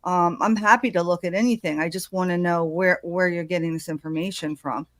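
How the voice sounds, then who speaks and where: A woman's voice: lowish voice, steady tone, brisk, fairly bright tone, no room sound, clean studio sound. A woman doing casual true crime commentary in a hesitant way, with many ums and uhs.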